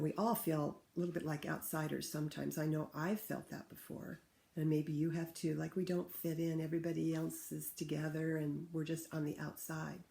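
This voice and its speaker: A woman reading a picture book aloud to children in an expressive, teacherly way, pausing to ask them questions. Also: low-pitched, creaky, a little hiss or hum. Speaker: read-aloud host for children. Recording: roomy, echoing room sound.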